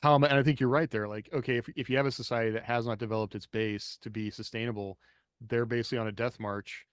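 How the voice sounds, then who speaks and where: A man's voice: medium pitch, fairly even intonation, quick pace, clean tone, no room sound, clean studio sound. A man speaking as a podcast co-host, thinking aloud informally in a casual panel discussion.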